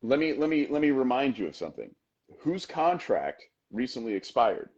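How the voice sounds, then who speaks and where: A man co-hosting a wrestling podcast in casual chat, emphatic and talking in quick bursts. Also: medium pitch, big pitch swings, a little mellow, breathy edge, dull audio. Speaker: podcast co-host.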